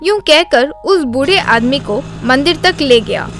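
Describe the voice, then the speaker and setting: A woman's voice: sits high, animated, a little slow, a bit bright, faint music behind. A woman narrating a scripted children's story as the storyteller.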